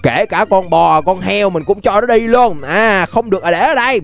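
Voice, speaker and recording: A playful, chatty young man giving animated gameplay commentary straight to his viewers. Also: high-pitched, moderate pace, gravelly. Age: young adult. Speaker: gaming video host. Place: indoor gaming setup. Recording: music behind the voice. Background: music.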